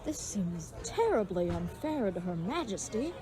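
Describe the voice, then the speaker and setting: A woman's voice: mid-range voice, very animated, measured pace, dark tone. A woman playing a gallant, dim and earnest leathersmith turned would-be knight, with pompous, flowery speech in a comedic audio drama. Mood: troubled, earnest.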